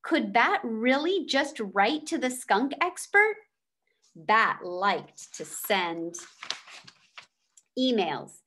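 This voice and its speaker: A woman's voice: fairly high pitch, fairly bright tone. A woman reading a children's novel aloud as a storyteller. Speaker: read-aloud reader.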